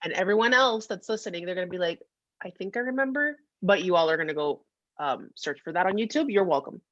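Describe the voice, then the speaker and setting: A woman's voice: lowish voice, lively intonation, fast talker, no room sound, muffled recording. A woman co-hosting a podcast in casual comedic chat, playful and teasing, repeating phrases for emphasis. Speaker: podcast co-host.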